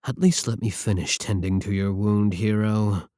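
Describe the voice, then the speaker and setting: A man's voice: fairly low pitch, fairly even intonation, normal speed, warm tone, natural voice. A man playing an arrogant, mocking villain scientist in an ASMR roleplay, talking close to the mic in a sarcastic, condescending way. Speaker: voice actor in audio roleplay.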